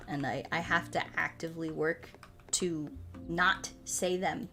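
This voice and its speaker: A woman from the United States chatting casually as a streamer, animated and rambling with a salty tongue. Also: sits low, a little slow, soft background music. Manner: animated rambling, frequent swearing. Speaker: streamer chatting with viewers during a puzzle game. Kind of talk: casual livestream chat.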